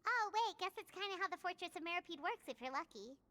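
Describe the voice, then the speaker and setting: A woman voicing a tiny, childlike, excitable fairy companion in a video game, squeaky and blunt. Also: fast, full character voice. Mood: musing. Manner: squeaky, blunt, refers to self by name. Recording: game audio with music behind.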